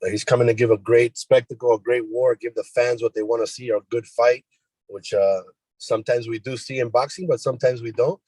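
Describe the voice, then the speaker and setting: A man's voice: medium pitch, steady tone, brisk, dark tone, slightly rough, no echo, low-quality recording. A man interpreting for a boxer on a media call in an informal, colloquial way. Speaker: boxer's representative and translator. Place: remote media conference call.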